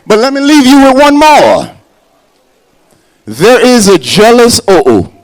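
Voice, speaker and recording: Male comic, high-pitched, playful banter with creole asides, gala stage. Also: wildly swooping pitch, measured pace. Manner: playful, mixes in Haitian Creole phrases. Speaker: comedian. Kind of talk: stand-up comedy set. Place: hotel banquet hall at a gala.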